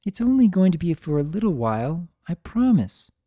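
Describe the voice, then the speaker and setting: A man voicing an affectionate, earnest female pony caretaker, gentle and modest, in a dramatic story reading. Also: high voice, sing-song, normal speed, no room sound, clean studio sound, pitched above the performer's own voice. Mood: excited, reassuring. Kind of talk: character dialogue in a dramatic reading.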